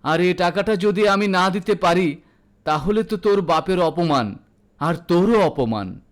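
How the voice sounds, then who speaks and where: A man's voice: sits high, lively intonation, measured pace. A man acts out an old father in an audio story. He is proud and weighed down by debt. He speaks tenderly and with anguish, ready to flare into indignation. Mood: tender, pained. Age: older. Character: elderly, anguished, proud indebted Bengali father.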